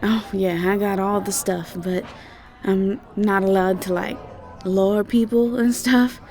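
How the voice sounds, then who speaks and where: A woman acts out a young siren college student in an audio drama, sweet and ditzy, with a Southern American accent. She talks slowly at a medium pitch, hesitating and stumbling over her words in flustered, airy chatter. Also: fairly even intonation, a little mellow. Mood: sweet, friendly. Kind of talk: roleplay audio drama acting.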